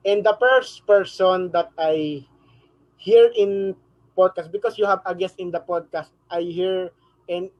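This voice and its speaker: A man hosting an interview, asking questions in halting, simple phrases and repeating words. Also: high voice, rough recording. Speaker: interview host.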